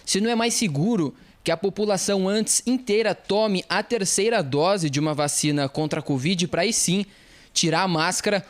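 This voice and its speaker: A Brazilian man co-hosting a radio show, putting a question to a guest in a plain, direct way. His voice sits a little higher than usual for a man. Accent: Brazilian Portuguese.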